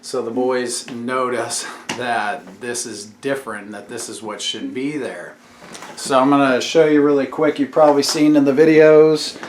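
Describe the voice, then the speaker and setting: A man's voice: medium pitch, steady tone, measured pace. A man hosting a casual home vlog, explaining things in a teacherly way and putting questions to his kids. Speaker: YouTube channel host and father. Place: room at home. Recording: echoey room with faint background noise.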